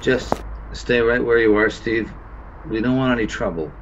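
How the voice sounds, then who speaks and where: An actor playing a guarded, weary man defending himself in a radio play, in a measured way. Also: medium pitch, close to an everyday voice. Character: guarded, weary man under neighbors' suspicion. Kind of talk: neo-radio play acting. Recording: dull lo-fi sound, faint noise.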